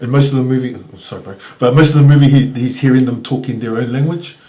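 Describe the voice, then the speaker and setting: A man's voice: mid-range voice, mellow. A New man hosts a casual podcast, his ordinary medium voice chiming in with encouraging yeahs and questions. The recording carries a noticeable room echo around him. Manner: encouraging, quick to affirm with "yeah, yeah". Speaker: podcast host. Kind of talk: casual interview chat. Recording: roomy echo.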